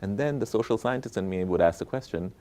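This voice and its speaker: A man from Bangladesh speaks in a deep, mellow voice as an academic being interviewed. He explains his work conversationally, step by step, and keeps checking in with short tag questions. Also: animated, fast. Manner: explanatory, checks in with "right?" and "okay?". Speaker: economist, professor interviewed as guest.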